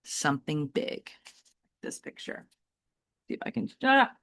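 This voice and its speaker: Animated woman reading a children's story. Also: low-pitched, a little slow. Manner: animated, dramatic storyteller delivery with varied pacing. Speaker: teacher reading a novel aloud. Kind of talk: expressive children's audiobook narration.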